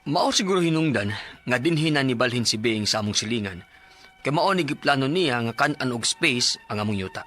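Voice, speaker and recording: A harried Cebuano husband in his thirties, in a 1990s radio drama. His bright, lively voice swings widely and flares into loud, high-pitched outbursts when he argues. Soft music plays underneath. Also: fairly quick. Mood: earnest. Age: 30s. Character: 33-year-old husband, harried and easily riled. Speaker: letter writer narrating his own story.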